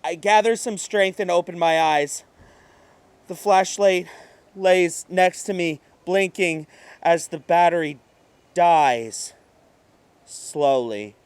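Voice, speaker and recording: A terrified madman voiced by a man narrates a horror story in a high voice. The pace is slow and halting, breathy and theatrical, with worn-out desperation. The sound is very dry, with no room around it. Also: no echo. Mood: hushed dread. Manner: breathy, halting, theatrical, swinging from hush to outburst. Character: terrified, exhausted madman narrator hunted by demons. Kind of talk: dramatic horror monologue reading.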